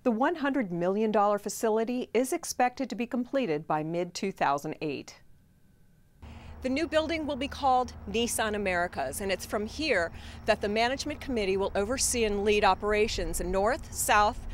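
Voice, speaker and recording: A woman's voice: mid-range voice, expressive, normal speed, a little hiss or hum. A middle-aged woman delivering scripted news narration as a video reporter.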